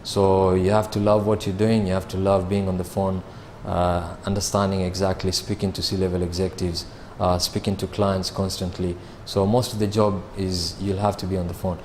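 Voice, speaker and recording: A man, answering interview questions about his sales job in a measured way, with frequent fillers and restarts. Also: sits low, little pitch movement, a little mellow, slight background noise. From Kenya. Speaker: sales manager.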